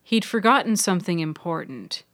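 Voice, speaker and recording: Female narrator for serial fiction podcast, slightly low pitch, dry close recording. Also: lively intonation, normal speed, a bit bright, dry recording. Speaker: author and narrator.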